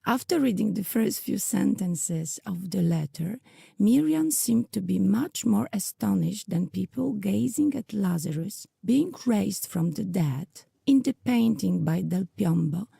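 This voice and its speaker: Very creaky, dark-voiced woman narrator. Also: medium pitch, no echo. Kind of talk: scripted audiodrama narration.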